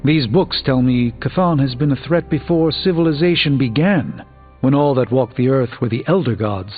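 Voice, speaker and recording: A man's voice: medium pitch, normal speed, lightly acted. Video game sorcerer superhero, male actor playing a middle-aged man, articulate and drily wry, formal scholar; thin phone-like sound over music. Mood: earnest, wry. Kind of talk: video game character dialogue.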